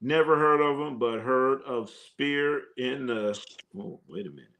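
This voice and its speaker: A man's voice: mid-range voice, measured pace, fairly bright tone. A man hosting a live sports stream, chatting loosely with viewers and a co-host, joking and using slang with lots of fillers. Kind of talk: casual live stream chat reading comments.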